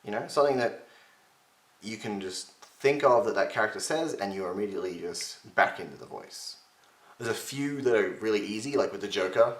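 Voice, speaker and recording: A man in his twenties giving casual voice-impression tips to a webcam at home, with a slightly gravelly, strained voice and a chatty, self-mocking manner. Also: lowish voice, lively intonation, fairly quick, echoey room. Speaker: voice impression tutor. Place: home room with shelves, indoors. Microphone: webcam at desk distance.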